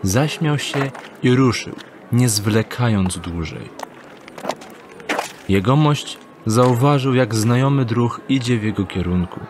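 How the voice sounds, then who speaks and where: A man narrating an audio drama in an even storytelling tone. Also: mid-range voice, slow pace, background noise.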